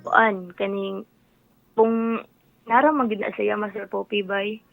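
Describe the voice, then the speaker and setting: A woman's voice: medium pitch, steady tone, takes it slowly, vocal fry, rough recording. A woman with a Cebuano Filipino accent chatting casually on a radio show in a colloquial, agreeable way. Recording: radio broadcast with music behind. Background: music.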